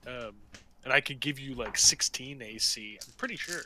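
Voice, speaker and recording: A man's voice: mid-range voice, normal speed, a crowd in the background, rough recording. A man chatting casually as a player in a tabletop role-playing game, with crude, sweary joking. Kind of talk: casual chat among friends, playing in character and explaining rules.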